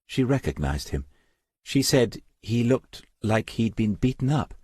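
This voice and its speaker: A man's voice: mid-range voice, big pitch swings, unhurried, darkish tone, breathy edge, no room sound, clean studio sound, voice pushed up. A man voicing a resolute, bitter young mother in an audiobook, frank and plain-spoken. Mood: grave, resentful. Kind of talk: audiobook character dialogue.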